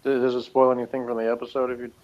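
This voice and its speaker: A man's voice: medium pitch, little pitch movement, very fast. A man co-hosting a casual review chat, with a dry, teasing way of talking. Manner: dry, teasing, laughs often. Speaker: podcast co-host. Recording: dull lo-fi sound.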